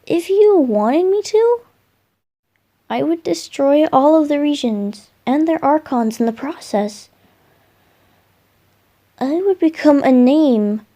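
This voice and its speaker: Slow, soft boyish wind god voiced by a woman. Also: high-pitched, animated. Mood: devoted, earnest. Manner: soft, musing, hesitant, affectionate. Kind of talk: roleplay ASMR, intimate one-sided dialogue to a listener.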